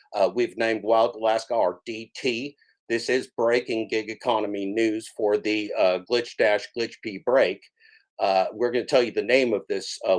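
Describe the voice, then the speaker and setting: A man's voice: lowish voice, darkish tone. A man hosting a livestream, rambling in a folksy, mock-grandiose way, with lots of filler "uh"s and phrases he repeats for comic effect. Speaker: livestream host.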